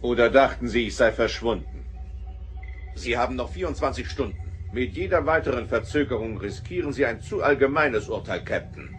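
An actor playing a haughty, all-powerful alien who threatens imperiously in a German sci-fi audio drama. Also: male voice, medium pitch, lively intonation, moderate pace, faint music behind, lightly acted. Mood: taunting, menacing. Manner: imperious, taunting.

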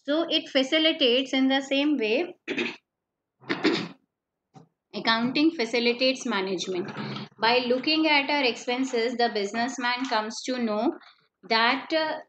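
A woman's voice: a little high, measured pace. A woman teaching an accountancy class online, explaining step by step and repeating the key points. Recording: slightly dull lo-fi online class audio with faint noise.

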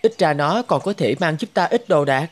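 A man's voice: fairly high pitch, expressive, moderate pace. A man voicing a poor, kindly young grandson from a nomadic village in a cartoon. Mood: caring. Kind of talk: cartoon dubbing.

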